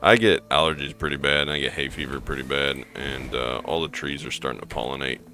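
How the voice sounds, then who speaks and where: A hoarse man playing a game and thinking aloud in a rambling, casual way, with fillers and restarts. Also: very deep voice, a little fast, background noise. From American Midwest. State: hoarse from allergies. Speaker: gaming streamer. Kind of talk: casual gameplay commentary.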